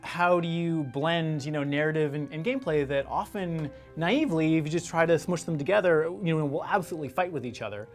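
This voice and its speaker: A man with a lightly raised pitch talks casually in an interview. His speech comes in halting bursts full of asides, with music playing softly behind it. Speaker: video game interviewee.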